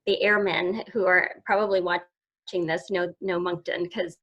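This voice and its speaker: A woman's voice: lowish voice, little pitch movement, a little slow, muffled recording. A woman, telling her story as an interview guest in a rambling, casual way. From Eastern Canada. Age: 40s. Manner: rambling, many restarts and "so"s. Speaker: guest, summit founder.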